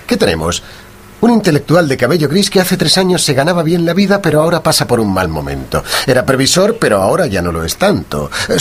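Un actor interpreta a un detective brillante y seguro, didáctico y preciso, en una radionovela. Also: male voice, fairly high pitch, slightly rough. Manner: didactic, assured, precise. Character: brilliant, confident male detective, teasing and methodical. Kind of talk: Spanish-language radio drama dialogue.

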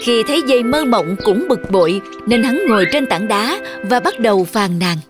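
A woman's voice: on the high side, lively intonation, moderate pace. A woman reading scripted fairy-tale narration for children as the storyteller. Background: music.

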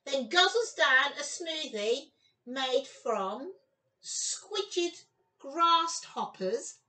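A woman in her fifties giving an expressive storytime read-aloud for young children, with exclamations and chatty asides. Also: extremely high pitch, recorded from a distance, low-quality recording. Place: small room at home, indoors.

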